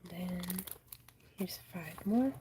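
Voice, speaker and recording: A woman's voice: fairly low pitch, very slow, a little hiss or hum. A woman hosting a crafting livestream, chatting casually and reading viewer comments aloud, congested and losing her voice with a sinus infection. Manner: chatty, reads viewer comments aloud, frequent soft laughs.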